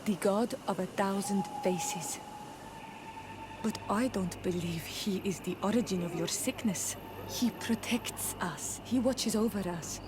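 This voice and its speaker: An actress playing a proud, protective native woman in a video game, with a deliberate, solemn delivery. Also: medium pitch, noisy background, close to an everyday voice. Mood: reverent. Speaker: companion character. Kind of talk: scripted game dialogue. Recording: game music behind the voice.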